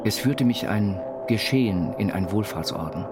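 An actor playing an eccentric self-taught inventor, narrating his life story in measured, quaintly formal phrases for an audio biopic. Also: lowish voice, animated, close to an everyday voice. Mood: grave, plain recollection. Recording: studio voice over loud music.

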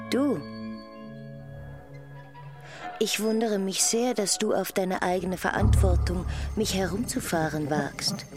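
Woman as slow, measured storyteller over music. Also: mid-range voice, lightly acted. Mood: wondering, reproachful. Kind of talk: slow, fragmentary scripted narration.

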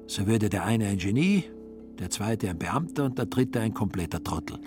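A man reading literary radio narration in a measured storytelling manner. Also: fairly low pitch, lively intonation, music in the background. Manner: measured storytelling in reported speech.

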